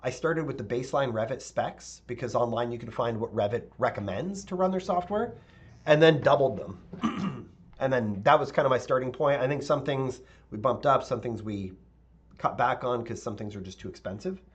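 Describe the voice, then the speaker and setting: A male architect teaching a live software tutorial, talking casually with viewers and thinking aloud with fillers; his voice is a little raspy. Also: medium pitch, lively intonation, moderate pace, dull audio. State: slightly raspy voice, clearing throat.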